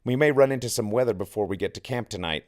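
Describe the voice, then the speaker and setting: A man's voice: fairly low pitch, quick pace, a little mellow, natural voice. A man playing an earnest, condescending male wilderness group leader in an audiobook, speaking instructively.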